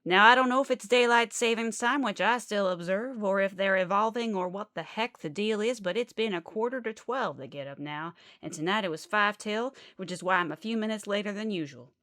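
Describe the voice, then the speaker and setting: A woman's voice: mid-range voice, a little fast, few pauses, fairly bright tone, no room sound, studio quality, natural voice. A woman playing a zealous, chatty Baptist preacher with a Southern US accent, rambling emphatically through a midnight radio sermon. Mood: folksy exasperation.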